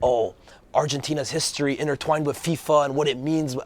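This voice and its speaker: A hoarse man co-hosting a casual football chat, telling stories in a fast, animated way. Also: on the high side. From Algerian background. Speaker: co-host.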